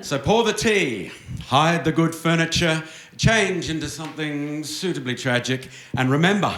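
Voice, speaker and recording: A man's voice: fairly high pitch, measured pace, fairly bright tone. A man narrating a live radio play in a wry, mock-grand, old-time wireless announcer style. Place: theatre stage with audience. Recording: roomy live theatre stage.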